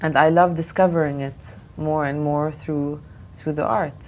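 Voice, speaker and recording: Low-voiced woman, thoughtful guest. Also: steady tone, normal speed. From Lebanon. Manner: thoughtful, frequent restarts and fillers. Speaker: art fair director, guest. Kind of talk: podcast interview answers. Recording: faint background noise.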